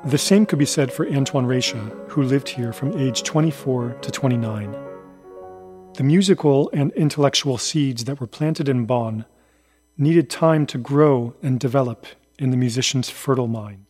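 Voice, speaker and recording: A man's voice: medium pitch, moderate pace. Male documentary narrator over faint music.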